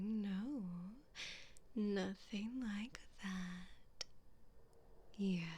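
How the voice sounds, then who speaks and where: A woman voicing a haughty, teasing evil queen in a breathy, condescending whisper for an ASMR roleplay. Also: mid-range voice, dark tone. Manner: slow, drawn-out, condescending, seductive.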